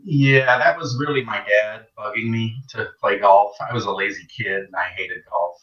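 A man raised in Canada, a golf coach, rambles through stories and laughs freely. His voice has a bright, forceful edge but sits back from the mic, echoing around a room. The sound is slightly dull. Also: fairly low pitch, moderate pace. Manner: laughing often, rambling storytelling. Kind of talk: casual interview chat. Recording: distant, echoey, dull sound.

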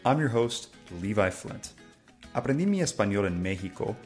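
Man from the United States, podcast host, slow delivery, roomy sound with faint music. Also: fairly low pitch. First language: English. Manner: relaxed, thinking aloud with fillers. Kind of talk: solo podcast monologue for learners.

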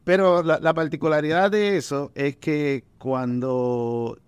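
A man's voice: fairly high pitch, steady tone, unhurried, clean tone, dry recording. A man who grew up between two Caribbean islands, chatting casually about poetry gatherings, often repeating words as he thinks. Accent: Caribbean Spanish. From Puerto Rico and Dominican Republic. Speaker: poet and event organizer. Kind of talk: casual conversation.

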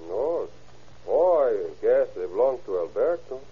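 An actor playing an evasive Mexican husband and father in halting, accented English, in old-time radio drama style. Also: mid-range voice, very animated, husky, exaggerated voice. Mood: guarded. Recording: muffled vintage radio recording.

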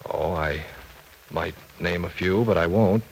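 An actor in a vintage radio drama playing an earnest, awkward professor, polite and formal but hesitant. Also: male voice, deep voice, a little slow, vocal fry, slightly rough, full character voice. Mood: courteous, diffident. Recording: noisy old radio show recording.

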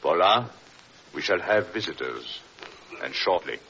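An actor playing a controlling, coldly menacing husband in a 1940s radio drama, grave and deliberate, feigning concern. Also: male voice, sits low, lively intonation, bright, forceful tone, exaggerated voice. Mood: ominous, controlled. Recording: hissy, dull old radio recording.

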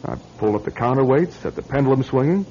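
An actor playing a wry, impatient young bachelor in a classic radio drama. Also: male voice, mid-range voice, animated, fast talker, mellow, slight background noise, lightly acted. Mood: exasperated, uneasy. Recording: dull, lo-fi old radio recording.

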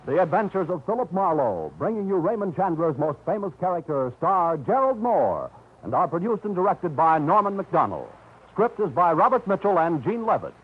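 A male announcer reading the show's credits in a formal, crisp radio style. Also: high-pitched, big pitch swings, normal speed. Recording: tinny, hissy old radio broadcast.

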